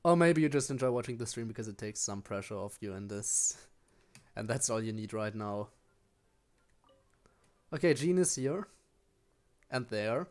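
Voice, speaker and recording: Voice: medium pitch, animated, normal speed, darkish tone. A game streamer chatting casually to viewers while playing, wandering off into rambling asides.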